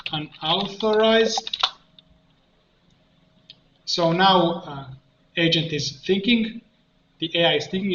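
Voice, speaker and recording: A man giving an unscripted screen-share software tutorial, explaining step by step in a halting way. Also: high voice. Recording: muffled roomy mic with faint noise.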